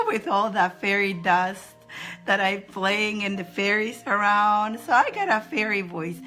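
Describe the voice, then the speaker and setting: A woman with a squeaky voice hosting a live spiritual advice stream from home, chatting casually and greeting viewers by name. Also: very animated, a little slow, bright, forceful tone, soft background music. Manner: greets viewers by name in high sing-song, laughing often.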